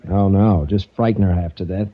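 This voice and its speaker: An anxious, earnest heir in an old radio drama. His lowish, husky voice is clipped and intense, heard through a muffled vintage recording. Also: steady tone, a little fast. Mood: worried, bitter. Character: worried, earnest male heir pleading with friend. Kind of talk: 1940s radio drama acting.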